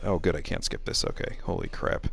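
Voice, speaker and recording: A man's voice: a little fast, a bit bright. A man giving casual, sardonic live gaming commentary, given to exasperated asides. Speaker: game streamer.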